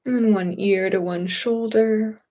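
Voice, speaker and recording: A woman leading a guided meditation and gentle movement practice as a teacher, speaking in a gentle, unhurried, reassuring way. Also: medium pitch, steady tone.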